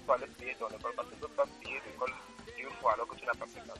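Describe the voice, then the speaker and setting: A man's voice: mid-range voice, flat delivery, rapid-fire, over music. A man on a radio talk show, speaking earnestly about raising children. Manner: earnest, moralizing. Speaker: guest.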